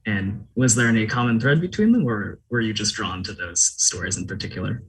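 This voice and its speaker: A man's voice: lowish voice, animated, brisk, fairly bright tone, slightly rough, poor audio. A man asking casual, conversational questions as an interviewer.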